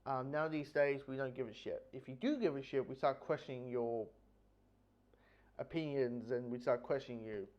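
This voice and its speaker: A man giving a casual, unscripted review, rambling and halting with repeated phrases, blunt and casually sweary. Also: medium pitch, a little mellow, lo-fi sound. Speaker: book reviewer.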